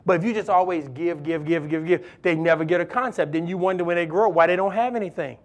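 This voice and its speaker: A man's voice: on the high side, quick pace. A male pastor preaching a sermon, emphatic and exhorting, repeating key phrases for weight.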